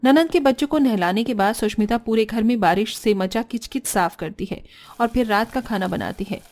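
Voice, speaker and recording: High-voiced woman narrating a story. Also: a little fast, darkish tone. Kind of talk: scripted cartoon story narration.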